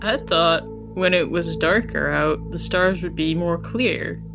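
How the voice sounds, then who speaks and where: A tabletop roleplaying player talks slowly and casually. The voice is medium in pitch, with music clearly heard underneath. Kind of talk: casual game table chat.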